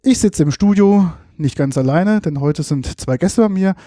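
Mellow man hosting a casual podcast. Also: high voice, expressive, normal speed, no room sound, clean studio sound. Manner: short, playful questions. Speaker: podcast host. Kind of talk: casual interview. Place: studio.

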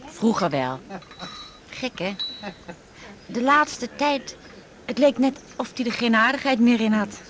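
An actress playing a wistful middle-aged widow, speaking hesitantly and reflectively in a naturalistic radio drama. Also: female voice, a little high, sing-song, slow pace, long pauses, a bit bright, exaggerated voice. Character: wistful widow with a grown son.

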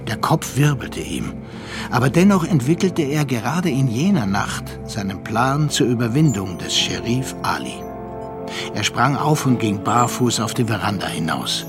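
An actor as a reflective storyteller, narrating a radio drama in a measured, literary way. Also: male voice, medium pitch, very animated, over music. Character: reflective male storyteller recounting a friend's ordeal.

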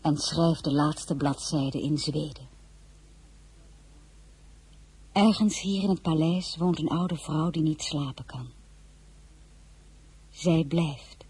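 A woman's voice: sits low, darkish tone, breathy edge, exaggerated voice. A woman playing a proud, candid young royal woman in a radio drama, speaking formally and with restraint. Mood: wistful. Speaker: lead actress and first-person narrator. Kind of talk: radio drama acting and narration.

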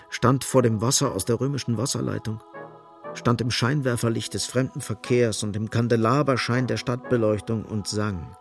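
A man narrating a literary radio drama gravely, in short, emphatic phrases. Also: medium pitch.

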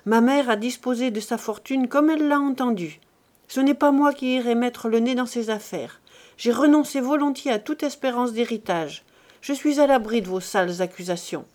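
A woman reading aloud, voicing a contemptuous male oil merchant who threatens and then turns icily calm. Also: fairly high pitch, normal speed, natural voice. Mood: cold.

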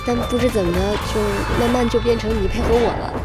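A woman's voice: fairly high pitch, fairly even intonation, quick pace, natural voice. A woman acting an easygoing young gamer in an audio drama, explaining patiently. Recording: loud music and effects behind voice.

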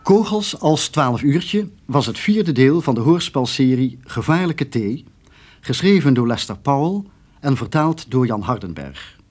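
A man's voice: medium pitch, very animated, unhurried, darkish tone, soft background music. A man reading scripted credits as the announcer of a radio drama.

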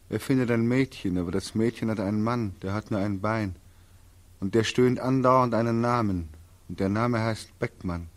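Casting: exhausted, bitter war returnee, lowish voice, slow dramatic monologue, vintage radio drama. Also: male voice. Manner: anguished, incantatory, dramatic. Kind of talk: 1940s German radio play acting, declaimed monologue.

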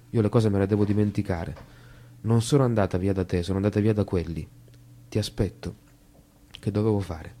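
Male actor as troubled man, low pitch, dark mellow timbre, bitter theatrical drama. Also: close to an everyday voice. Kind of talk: theatrical monologue and dialogue.

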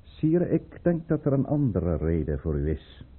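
A man playing a proud, calculating governor at court in a period radio play, formal and theatrical, with a husky voice. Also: deep voice, big pitch swings, heavily acted. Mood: deferential, measured. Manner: formal, measured, theatrically indignant or deferential. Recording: muffled, tinny old radio recording.

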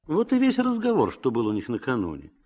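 A man narrating a literary radio drama as a gently ironic storyteller. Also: medium pitch, wildly swooping pitch, brisk. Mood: wry, reflective.

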